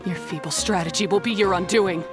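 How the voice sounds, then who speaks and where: An actress playing a proud, stern young beast tamer rival in a video game, cold, commanding and dismissive. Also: female voice, on the low side, fairly even intonation, fairly quick, lightly acted. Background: game music.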